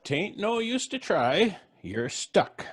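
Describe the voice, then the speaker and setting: An actor playing a gloating old man with a rural American accent and a husky voice, in old-time radio horror style. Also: high voice, sing-song, slow, heavily acted. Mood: taunting. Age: older. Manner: drawling, chuckling, taunting.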